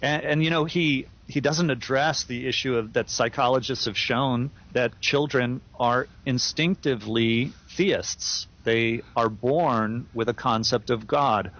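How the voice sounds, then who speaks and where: A man's voice: medium pitch, a little slow, few pauses, fairly bright tone. A man co-hosting a podcast, giving firm opinions in a casual chat with fillers and restarts. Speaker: podcast co-host. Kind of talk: casual chat, reading listener email. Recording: dull lo-fi sound.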